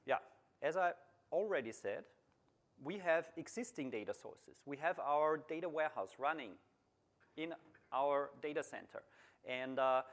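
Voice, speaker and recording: Austrian man giving a technical talk. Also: fairly high pitch. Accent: Austrian German. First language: German. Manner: plain, steady explaining with repeated phrasing and some restarts. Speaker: conference speaker, BI consultant. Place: large conference room.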